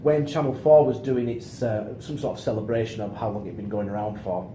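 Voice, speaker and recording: A man's voice: lowish voice, fairly even intonation, fairly quick, warm tone. A middle-aged British man co-hosting a film review show in casual, joking conversation. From United Kingdom. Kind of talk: casual chat, joking commentary. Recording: roomy echo, faint background noise.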